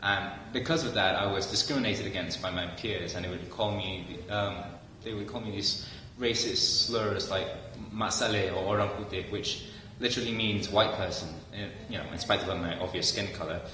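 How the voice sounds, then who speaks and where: A man's voice: on the low side, normal speed, hardly any pauses, bright, forceful tone. A young male student from Malaysia answering interview questions conversationally, with fillers. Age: young adult. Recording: echoey hall from far off, faint noise.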